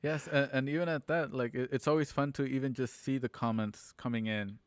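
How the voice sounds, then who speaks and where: A man's voice: mid-range voice, fairly even intonation, brisk, dry recording, clean studio sound. A man hosting a casual livestream sign-off, thanking and addressing viewers. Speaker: producer and host.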